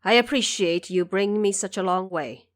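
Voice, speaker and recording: A woman plays a gracious emperor in a mythic audio drama. He speaks slowly and formally, with a reflective, stately delivery. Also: mid-range voice, close to an everyday voice. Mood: grateful.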